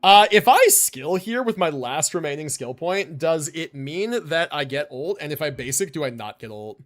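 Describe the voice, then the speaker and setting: A man with a high, very animated voice is streaming a game. He talks casually, works out his moves aloud and answers chat, with plenty of slang and swearing. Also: normal speed. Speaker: game livestreamer. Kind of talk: casual gameplay commentary with chat replies.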